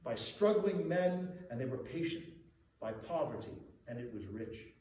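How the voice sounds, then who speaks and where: A man giving a dramatic literary reading as a storyteller, theatrical and measured. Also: mid-range voice, very animated, darkish tone. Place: reverberant indoor venue. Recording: echoey room, distant mic, faint noise.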